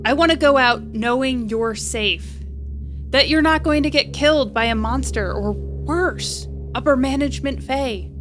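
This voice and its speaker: Flippant young repairwoman, audio drama. High voice, slow delivery, self-deprecating bravado with an earnest core. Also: a bit bright, soft background music. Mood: resolute, pleading. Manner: rambling, joking bravado over earnest pleading.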